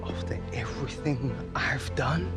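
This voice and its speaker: An actor playing a frustrated, wounded young professional footballer in a video game cutscene. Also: male voice, medium pitch, measured pace, loud music behind the voice, natural voice. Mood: hurt, angry.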